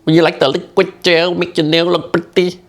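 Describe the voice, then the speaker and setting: Brief: man playing a soft-spoken Vietnamese-accented nail salon worker, nasal and gentle, raspy underneath. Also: sits high, little pitch movement, brisk, voice pushed up. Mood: coaxing. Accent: Vietnamese-accented English.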